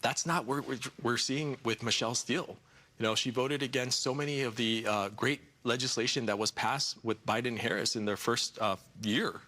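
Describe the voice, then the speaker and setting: A man's voice: medium pitch, fairly even intonation, moderate pace, sharp tone. A man in his forties, a candidate answering questions in a TV news studio, earnest, with frequent fillers and repeated words. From United States. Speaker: congressional candidate. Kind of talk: TV studio interview.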